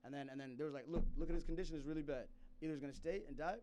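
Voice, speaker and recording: A man's voice: a little high, fairly even intonation, rapid-fire, background noise. A man from South Sudan telling a rambling personal story in casual chat, laughing often and restarting his sentences.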